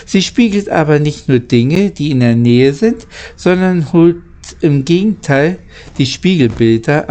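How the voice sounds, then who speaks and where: A man reading a children's story aloud as an amateur narrator, haltingly, stumbling and rereading phrases. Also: a little high, dark tone.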